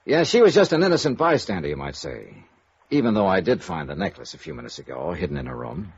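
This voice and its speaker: Sardonic, husky radio detective man. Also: low voice, sing-song, quick pace, heavily acted. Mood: cool, knowing. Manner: dry, needling, self-assured. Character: cool, sardonic freelance insurance investigator, adult man. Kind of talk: vintage radio noir acting. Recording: muffled, echoey vintage radio recording.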